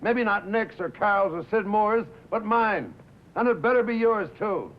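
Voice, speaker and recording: Casting: brash American bandleader, defensive wise guy, animated higher voice, 1950s film drama. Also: a little slow. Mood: insistent. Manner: slangy, cocky, punchy street talk. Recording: muffled vintage film soundtrack.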